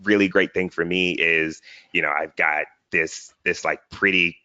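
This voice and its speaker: A man's voice: deep voice, fairly even intonation, unhurried, bright, forceful tone, clear, resonant tone, no echo, muffled recording. A man speaking as an interview guest in an earnest, reflective conversational way, often checking in with "right?". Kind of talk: motivational conversational talk.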